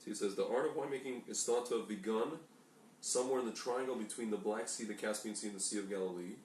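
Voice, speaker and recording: A man's voice: fairly low pitch, fast talker, a little mellow. A man co-hosting a casual talk show, reading aloud from documents and often stumbling and correcting himself. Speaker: podcast co-host. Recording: echoey room, distant mic, faint noise.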